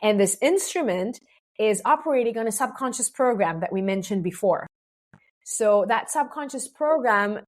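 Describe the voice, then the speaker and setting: A woman's voice: medium pitch, darkish tone. Earnest Israeli woman explaining ideas. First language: Hebrew. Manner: earnest, teaching with analogies, frequent restarts and "right?". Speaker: podcast guest. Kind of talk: explanatory interview talk.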